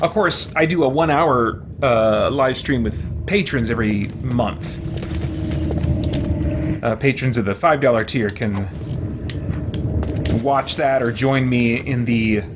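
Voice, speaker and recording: Male streamer, medium pitch, informal rambling live chat with halting delivery, noticeable game audio underneath. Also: noisy background. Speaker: streamer playing a role-playing game. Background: video game audio.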